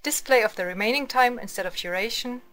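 A woman narrates a scripted screencast in a measured, instructional way. Her voice is fairly high and distinctly bright. A faint layer of noise sits behind the voice-over. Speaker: tutorial narrator.

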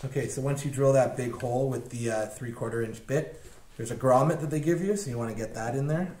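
A young man casually walking viewers through installing a snowmobile accessory in a garage workshop, relaxed and plain-spoken with many fillers. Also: medium pitch, quick pace, over music. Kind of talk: casual step-by-step install walkthrough.

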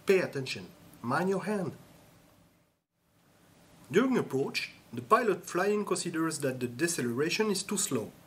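Lively-voiced man narrating pilot training. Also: a little high, husky. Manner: measured, instructive. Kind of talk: scripted instructional narration.

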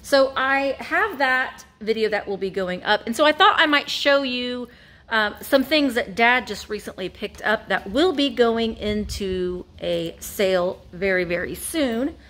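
A woman's voice: fairly high pitch, expressive, a little slow, a bit bright. A woman in her forties chatting casually through a vintage haul video, rambling with fillers and self-corrections, filmed on a close phone camera inside an antique shop. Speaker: vintage reseller and vlogger. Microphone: phone camera held close.

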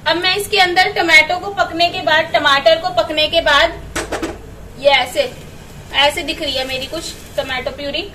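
A woman's voice: sits high, steady tone, moderate pace, pushed and bright, far from the mic, a little hiss or hum. A woman explaining a recipe step by step as she cooks in her home kitchen. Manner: step-by-step instructing. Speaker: home cook vlogger. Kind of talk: cooking demonstration.

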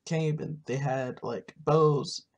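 A gamer playing a weary, hesitant adventurer in a video game roleplay, speaking haltingly. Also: medium pitch, steady tone, darkish tone. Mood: uneasy. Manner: slow, halting.

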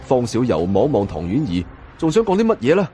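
A man's voice: mid-range voice, wildly swooping pitch, fairly quick, warm tone, faint music behind. A man with a Cantonese accent narrating a scripted first-person story in a dramatic style. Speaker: audiobook narrator.

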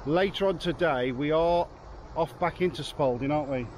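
A man's voice: on the high side, normal speed, background noise. Chatty English man filming himself outdoors. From England. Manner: chatty, jokey, rambling with restarts. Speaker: vlogger. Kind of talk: casual travel vlog chat. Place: outdoors in town streets and a garden. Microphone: camera held at arm's length.

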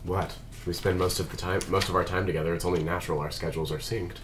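A man reading the part of a formal, reserved android detective partner in a measured, articulate way at a drama table read. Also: low-pitched, fairly even intonation, lightly acted. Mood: dry. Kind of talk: script read-through of a sci-fi drama. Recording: background noise of a group room.

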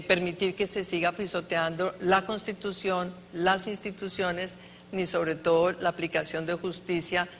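A middle-aged woman speaking earnestly on a conference panel, with pauses between phrases, into a handheld mic on stage. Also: on the low side, a bit bright, a little hiss or hum. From Colombia. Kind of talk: panel discussion answer. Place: large conference hall stage.

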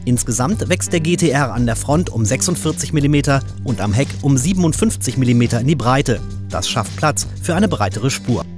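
A man with a German accent reading a scripted, brisk and technical car-review voice-over. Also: mid-range voice, lively intonation. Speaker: car magazine narrator. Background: music.

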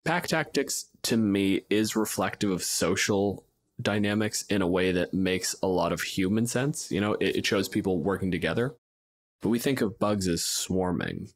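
A man's voice: sits low, moderate pace. A man hosting a podcast, casually discussing and improvising scenes as a game master.

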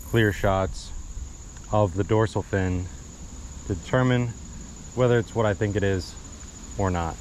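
Male fishing vlogger, easygoing and chatty, lower voice, outdoor action-cam sound with faint crickets. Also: a little mellow, a little hiss or hum, rough recording. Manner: chatty, easygoing, thinking aloud. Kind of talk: casual outdoor vlog narration. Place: outdoors at a marsh pond. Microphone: action camera worn on body.

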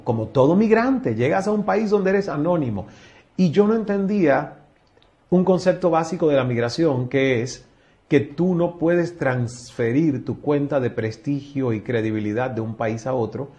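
A Cuban man in his forties with a Cuban Spanish accent, giving an emphatic, reflective interview answer in a small office at a table mic. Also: medium pitch. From Cuba. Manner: expressive, gestures a lot, shifts between emphatic and soft. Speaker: interview guest. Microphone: table mic in front.

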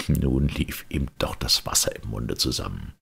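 A male audiobook reader tells a fairy tale with great theatrical expression. His voice is extremely low and very husky, with a gravelly creak. The delivery is animated and storybook-like. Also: measured pace.

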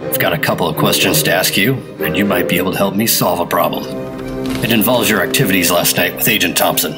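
An actor playing a cool, secretive government operative with a clipped, hard-boiled manner in a 1950s-style radio drama. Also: male voice, low-pitched, fast, loud music behind the voice, natural voice. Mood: businesslike, mysterious.

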